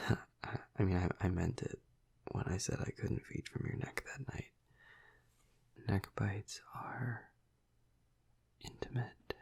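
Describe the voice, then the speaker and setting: A man playing a flirty, tender vampire lover in an intimate ASMR roleplay, half-whispering in a breathy, husky voice with hesitant, teasing murmurs. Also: fairly low pitch, slow pace, pauses often, darkish tone, exaggerated voice. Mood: shy, tender.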